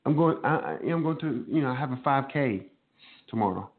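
Brief: mid-pitched male host, stuffy nasal from a cold, jokey, tinny phone-like sound. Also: expressive, fairly quick. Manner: jokey, laughs often. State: getting over a cold, nasal. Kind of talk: casual radio chat. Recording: phone-like narrow band.

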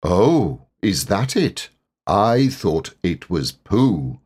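A man acts out a pompous old-school owl from a children's storybook. He is solemn and wordy, speaking very deliberately with broad rises and falls in pitch. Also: very animated, darkish tone, husky, full character voice. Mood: considering.

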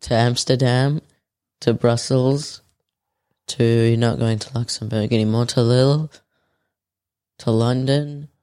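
A man's voice: mid-range voice, steady tone, slow, long pauses, darkish tone, smooth. A teenage boy co-hosts a casual chat with his dad. He speaks in an easygoing way, mostly in short replies. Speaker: podcast co-host, son.

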